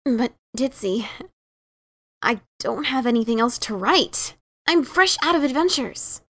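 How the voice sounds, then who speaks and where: A woman playing an adventurous pegasus pony author in a fan dramatic reading, emphatic and defensive, halting when awkward. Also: on the high side, lively intonation, fairly bright tone, heavily acted. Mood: uneasy, explaining.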